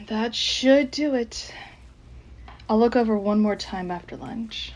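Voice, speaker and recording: A woman's voice: a little high, slow pace, darkish tone, a little hiss or hum. A woman playing a shy, weary accountant in a radio mystery drama, grumbling to herself in a guarded way. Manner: muttering to herself, sighing, guarded.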